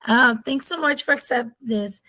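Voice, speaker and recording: A woman hosts an interview in English, her questions halting and full of uhs. Her voice is a touch high and bright, recorded dry and close. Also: dry recording. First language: Portuguese. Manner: hesitant, halting questions with fillers. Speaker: interviewer host.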